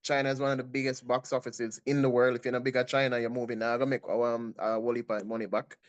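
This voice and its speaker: A man with a Jamaican accent talks on a casual panel. He lays out his case calmly and logically, and his pitch sits in an ordinary middle range. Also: fast. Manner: reasoned, calls himself a numbers guy.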